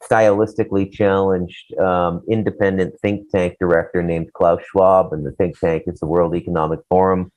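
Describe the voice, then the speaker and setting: A man's voice: sits low, level tone, normal speed, hardly any pauses, lo-fi sound. A man co-hosting a casual talk show, giving opinions in a rambling, blunt, profane way. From Canada.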